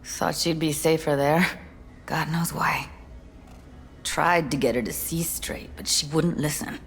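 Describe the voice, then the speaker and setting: A woman's voice: low-pitched, steady tone, measured pace, creaky. An actress voicing a worried, streetwise young woman in a video game, terse, colloquial and fretful. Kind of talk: video game character acting.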